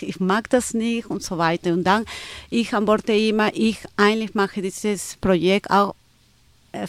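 Woman speaking halting German. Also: mid-range voice, dry recording. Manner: halting non-native German with many fillers and restarts. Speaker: photographer and event organizer. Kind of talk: interview answers.

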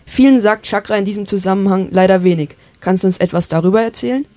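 A woman host with a mid-pitched voice reads scripted lines in an inviting way. The sound is dry and close. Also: fast talker, warm tone, no room sound. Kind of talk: scripted narration.

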